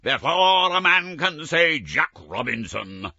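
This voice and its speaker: A man's voice: a little high, big pitch swings, slow, pushed and bright, dry recording, lo-fi sound, voice pushed up, heavily acted. A man reading an audiobook, voicing a jolly fat old merchant with a rich, oily, jovial voice who calls out heartily.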